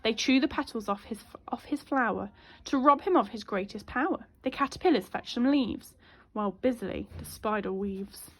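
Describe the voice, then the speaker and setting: A woman teacher reading a children's storybook aloud in an expressive, child-directed way. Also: a little high, normal speed, rough recording. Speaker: primary school teacher.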